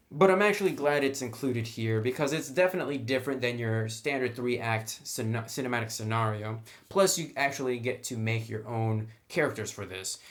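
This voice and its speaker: A man reviewing a game book in a casual, unscripted way, explaining things informally and conversationally. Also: medium pitch.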